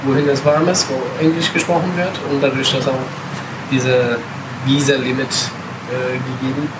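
A man in his thirties, born in Italy, answering questions as a guest on a casual travel podcast, with many pauses and filler sounds. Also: mid-range voice, level tone. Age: 30s. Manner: hesitant, many filler sounds, mixes English words. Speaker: interview guest, backpacker. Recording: loud background noise.

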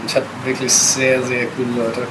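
A man in his thirties, born in Italy, answering questions as a guest on a casual travel podcast, with many pauses and filler sounds. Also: medium pitch, monotone. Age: 30s. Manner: hesitant, many filler sounds, mixes English words. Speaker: interview guest, backpacker. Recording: loud background noise.

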